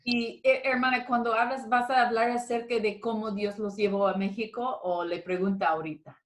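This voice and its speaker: A woman's voice: fairly high pitch, steady tone, moderate pace. Friendly hesitant woman hosting a call. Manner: friendly, hesitant, mixes English fillers. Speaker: host of women's meeting. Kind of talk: casual virtual interview. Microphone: video call.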